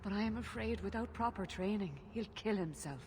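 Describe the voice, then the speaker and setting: A woman's voice: medium pitch, lively intonation, brisk, a little mellow, a little hiss or hum, natural voice. An actress playing an anxious elven mother who pleads earnestly for help finding her son, in a game cutscene.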